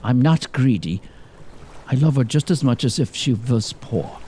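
A man playing a fussy, excitable young lawyer in a comic audio drama, with a fretful, theatrical delivery. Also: medium pitch, a little slow, slight background noise, natural voice. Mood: comic, self-important. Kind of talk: gothic comedy audio drama dialogue.

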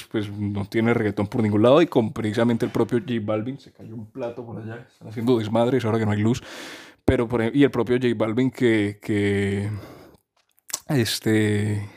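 A man's voice: lowish voice, darkish tone. A man, talking casually and at length about music, rambling with many restarts and fillers. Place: home during a power cut.

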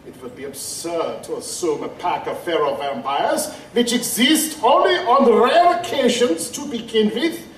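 An actor playing a learned, haughty vampire in an audio drama, speaking formally and deliberately with a brooding, pompous manner. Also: male voice, high-pitched, lively intonation, a bit bright. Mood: pedantic. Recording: roomy, distant, faint background noise.

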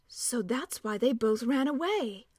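A woman's voice: a little high, lively intonation, unhurried, darkish tone, no echo. A sensible young storybook girl, voiced by a woman reader in close to her own light reading voice. The recording is dry and close. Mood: matter-of-fact.

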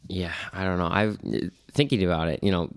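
Creaky, deep-voiced Midwestern teenage guy. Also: fairly quick. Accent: American Midwestern. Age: late teens. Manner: loose, informal, trailing off. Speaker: podcast co-host. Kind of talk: casual conversation.

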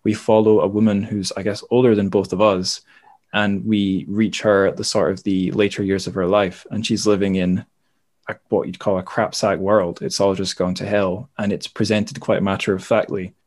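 Low-voiced man chatting casually. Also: level tone, moderate pace, darkish tone. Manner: informal, rambling, self-deprecating. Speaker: podcast host. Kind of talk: casual book chat.

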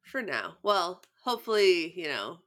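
A woman's voice: on the low side, very animated, measured pace, fairly bright tone. A young adult woman co-hosting a podcast, chatting casually and rambling through stories with many restarts, sounding stuffed up with sniffles. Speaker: podcast co-host.

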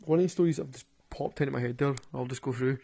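Gravelly Glaswegian man telling stories. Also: medium pitch, racing, warm tone. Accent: Glaswegian Scottish. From Glasgow, Scotland. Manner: rambling, sweary, laughs at his own stories. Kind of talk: casual storytelling monologue.

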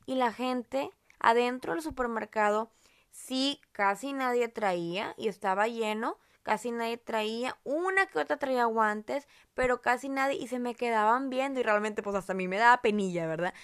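Woman, Monterrey Mexican accent, podcast host, higher voice with vocal fry, talkative and rambling. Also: normal speed. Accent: Monterrey Mexican Spanish. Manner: chatty, rambling, fond of diminutives. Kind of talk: casual podcast chat.

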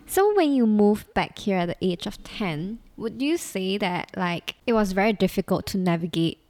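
A woman's voice: mid-range voice, lively intonation, normal speed, a little mellow. A woman with a Singaporean English accent hosting a casual interview, curious and chatty with many fillers. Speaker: host.

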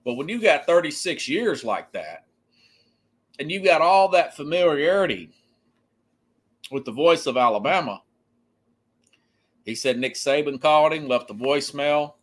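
A man hosting a sports podcast, giving opinionated, unscripted commentary in a halting, emphatic way that often circles back to repeat points. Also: a little high, lively intonation.

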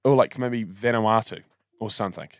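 A man's voice: on the low side, normal speed, no echo, clean studio sound. A young man co-hosting a podcast, rambling with swearing, laughs and restarts. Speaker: podcast co-host. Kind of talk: casual banter.

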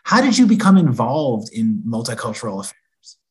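A man asking conversational interview questions in a measured way. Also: medium pitch, very animated, a little mellow, husky, low-quality recording.

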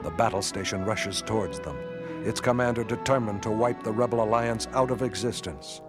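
A man narrating a radio drama in a solemn, measured announcer style. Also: on the low side, over music, lightly acted. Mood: grave, suspenseful.

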